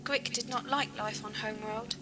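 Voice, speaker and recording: A woman's voice: fairly high pitch, steady tone, normal speed, loud music behind the voice, close to an everyday voice. A woman playing a defiant, wary young prisoner in an audio drama, curt and determined. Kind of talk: fan audio drama acting.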